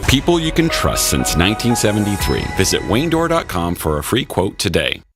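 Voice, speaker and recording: A man's voice: on the low side, wildly swooping pitch, a little fast, over music. A man narrating a scripted radio commercial as an announcer.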